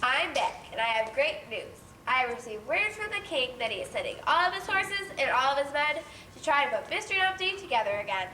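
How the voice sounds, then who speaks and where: A woman's voice: high-pitched, sing-song, a little fast, few pauses, pushed and bright, full character voice. A woman playing an earnest nursery-rhyme news reporter in a children's stage play. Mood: earnest, reporting. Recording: echoey stage, distant mic, faint noise.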